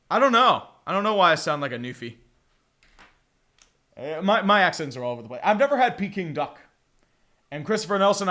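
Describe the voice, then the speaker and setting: A man's voice: high-pitched, fairly bright tone. A man giving casual, profane live fan commentary on a football game, calling plays and rambling with exasperated restarts. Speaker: fan play-by-play streamer.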